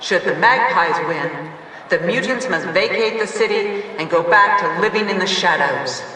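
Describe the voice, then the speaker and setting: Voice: mid-range voice, normal speed, sharp tone, lightly acted. An actor playing a stern judge in a cartoon, formally proclaiming a ruling. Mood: solemn, commanding. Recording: echoey hall, distant, faint noise.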